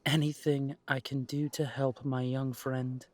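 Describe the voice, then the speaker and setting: Actor as slow, defiant young man, close and dry. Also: medium pitch, little pitch movement, dry recording, close to an everyday voice. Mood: earnest. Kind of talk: audio drama acting.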